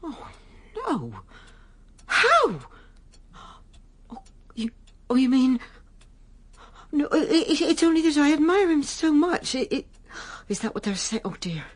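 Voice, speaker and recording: A woman's voice: high-pitched, wildly swooping pitch, slow pace, pauses often, heavily acted. An actress playing a timid, earnest married woman in a radio drama, hesitant, deferential and easily flustered. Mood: flustered, distressed.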